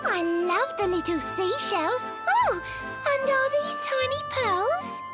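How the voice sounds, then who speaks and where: A trusting, lovelorn mermaid girl speaks in rhyme in a game cutscene. Her voice is extremely high and unhurried, lilting through sing-song lines, with loud music behind her. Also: expressive, full character voice. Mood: delighted. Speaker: video game character actor. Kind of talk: rhyming theatrical cutscene dialogue. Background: loud game soundtrack music.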